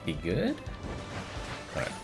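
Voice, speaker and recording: A man's voice: sits low, huge pitch swings, takes it slowly. A man doing casual live commentary on a game, offhand, thinking aloud and reading on-screen text. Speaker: game streamer. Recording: game music behind the voice. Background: video game music.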